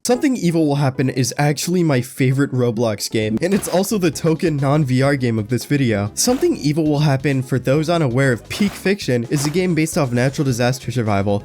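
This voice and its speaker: A man's voice: mid-range voice, darkish tone. A man doing a scripted voice-over review of video games, sarcastic and self-mocking, swearing freely. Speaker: gaming YouTuber.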